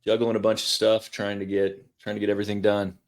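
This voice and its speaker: A middle-aged man hosting a podcast, talking in a relaxed, rambling way and swearing casually. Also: on the low side, fast talker. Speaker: podcast host.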